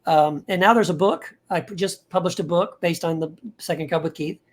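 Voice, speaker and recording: A man who is an author, guesting on a podcast and talking in a relaxed, rambling, easygoing way. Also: a little high, a little fast. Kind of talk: casual interview chat.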